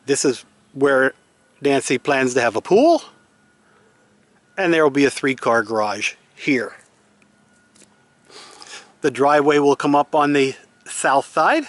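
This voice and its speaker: A man's voice: mid-range voice, no echo. A man giving an unscripted, explanatory walk-through tour in a matter-of-fact way, speaking outdoors into a handheld camera. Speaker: homeowner giving a house tour. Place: outdoors in an open timber-framed house under construction. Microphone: handheld camera, held by the speaker.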